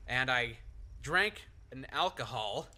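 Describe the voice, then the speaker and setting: A man's voice: mid-range voice, expressive, slow pace, a crowd in the background. A young man, a veteran, telling sheepish stories in a casual chat and laughing often. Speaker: co-host, veteran.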